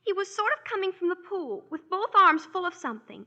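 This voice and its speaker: An eager, high-voiced young girl. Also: big pitch swings, a little fast, sharp tone, full character voice. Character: excited young girl, eager gossiping little sister. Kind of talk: 1940s radio acting. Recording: dull vintage radio broadcast.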